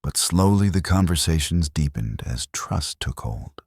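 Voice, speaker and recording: Male narrator for Western romance audiobook, extremely low pitch, dry close sound. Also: a little mellow, husky, no room sound. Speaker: storyteller narrator.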